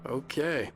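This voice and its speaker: An actor playing a troubled, irritable novelist who narrates his own story in a game, weary and brooding. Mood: casual, caring. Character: troubled, irritable male novelist with writer's block. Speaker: protagonist and narrator. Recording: loud music behind the voice.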